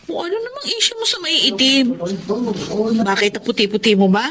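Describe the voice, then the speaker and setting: A woman's voice: sits high, sing-song, normal speed, a bit bright, slight background noise. A woman playing a blunt, teasing best friend in a radio drama, with a Filipino accent. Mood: lecturing. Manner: scolding, teasing, exclamatory.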